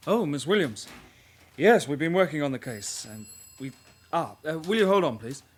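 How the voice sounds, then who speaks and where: A man's voice: fairly high pitch, lively intonation, moderate pace. A young man acting in a radio drama as a polite, slightly hesitant junior detective with a British accent. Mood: polite, flustered. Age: young adult. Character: eager, polite young male detective constable sidekick.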